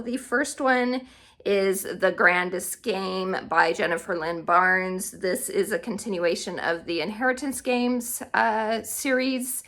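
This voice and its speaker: A woman speaks in a bright, crisp-edged voice at an ordinary pitch for a woman. She sounds like a vlogger running through a list for her viewers, chatty and informal, often thinking aloud as she goes. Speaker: book vlogger. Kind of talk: casual, chatty book tag video.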